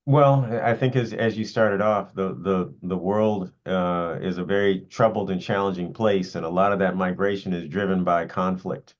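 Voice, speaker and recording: A man being interviewed, answering in a measured, halting and deliberate way. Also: low-pitched, fairly even intonation. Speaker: interview guest, organization leader. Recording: dull lo-fi audio.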